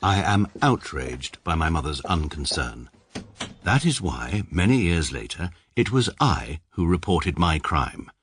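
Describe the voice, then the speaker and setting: A pedantic English barrister. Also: male voice, deep voice, sing-song, a little hiss or hum. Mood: dry. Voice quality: measured, dry, pedantic. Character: precise, pompous adult male barrister narrating. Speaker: narrator, adult lead character. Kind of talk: radio drama narration.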